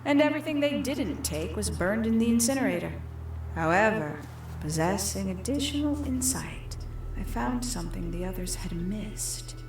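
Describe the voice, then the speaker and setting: A woman playing a calculating young villain in a video game, confessing her schemes in measured, deliberate, theatrical storytelling. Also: medium pitch, lively intonation, background noise, natural voice. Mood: sly, composed. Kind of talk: scripted video game character monologue. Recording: echoey, with music behind.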